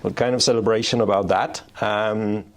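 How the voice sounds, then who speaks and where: A middle-aged man from Denmark speaks with a gravelly, fairly low voice. He explains things patiently as an interview guest, circling back and rephrasing as he goes. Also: steady tone, moderate pace. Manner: explanatory, self-restarting. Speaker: payments strategy executive, guest. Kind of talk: interview answers. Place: office in Oslo.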